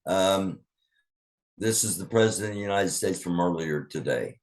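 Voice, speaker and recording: A man's voice: low-pitched, moderate pace. A man hosting an online news commentary show in a casual, rambling way, full of fillers and restarts. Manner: rambling, thinking aloud with many fillers.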